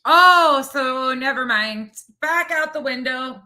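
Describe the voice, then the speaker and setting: A woman's voice: sits high, slow, sharp tone. A woman hosting a casual reaction commentary, sarcastic and mocking, often repeating her points. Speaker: host reviewing another commentator's video.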